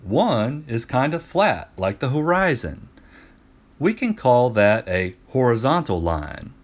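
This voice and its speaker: A man's voice: mid-range voice, sing-song, takes it slowly, pitched above the performer's own voice. A man voicing an eager ancient Greek geometry teacher in a children's storybook, explaining patiently and with emphasis. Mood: explaining eagerly.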